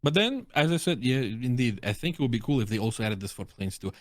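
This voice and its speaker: Animated native Russian man, casual chat. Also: mid-range voice, fast, dry recording. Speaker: guest reviewer of game voice lines.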